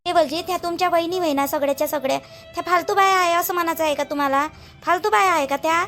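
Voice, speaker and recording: A woman's voice: very high-pitched, quick pace, a bit bright, faint music behind. A woman playing an aggrieved, stubborn young village wife in a family cartoon, protesting and questioning in a Vidarbha Marathi accent. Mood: defiant. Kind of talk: family drama cartoon dialogue.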